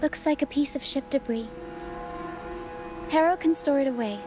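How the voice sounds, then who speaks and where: A woman playing a calm, quirky young female adventurer in a video game, measured and gently playful. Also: sits high, noisy background, lightly acted. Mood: calm, curious. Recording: loud game music behind the voice. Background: game music.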